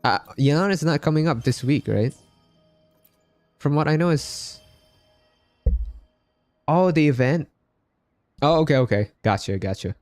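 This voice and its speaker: A man's voice: on the high side, animated, unhurried, long pauses, a little mellow, no echo. Casual male game streamer, music behind. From Philippines. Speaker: game livestreamer. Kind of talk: casual chat with live viewers while gaming.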